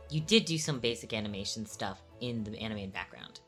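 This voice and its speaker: A woman's voice: very deep voice, animated, fairly quick, faint music behind. A woman co-hosting a livestream, chatting casually and laid-back while her sibling animates, with many "like" fillers. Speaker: streamer co-host.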